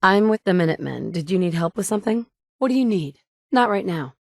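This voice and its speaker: A woman's voice: mid-range voice, animated, a little fast, vocal fry, no echo, studio quality. An actress voicing a resolute adult woman survivor in a video game, firm and direct with a sarcastic edge. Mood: determined. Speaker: player character. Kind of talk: game voice acting, dialogue lines.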